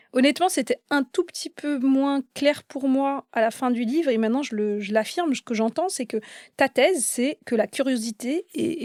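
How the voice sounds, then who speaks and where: Woman podcast host, bit higher voice, friendly and jokey, dry close sound. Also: a little mellow, no room sound. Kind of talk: casual podcast interview.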